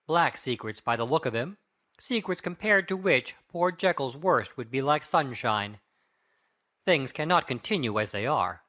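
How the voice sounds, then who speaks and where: A man acting an anxious, brooding middle-aged Victorian gentleman lawyer in a dramatic audiobook reading, grave and musing. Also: mid-range voice, expressive, measured pace. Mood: brooding worry. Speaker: audiobook cast reader.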